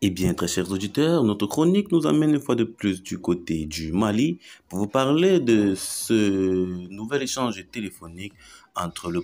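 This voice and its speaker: Male news chronicle narrator, lower register, formal and emphatic scripted read. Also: a little mellow. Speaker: online news chronicle presenter.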